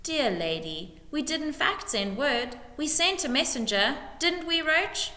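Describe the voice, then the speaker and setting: A woman's voice: sits high, expressive, unhurried, sharp tone, voice pushed up, exaggerated voice. A woman voicing a scheming, bullying male lord in a storybook reading, with snarled orders and oily false sympathy. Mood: smoothly lying. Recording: echoey room with long reverb.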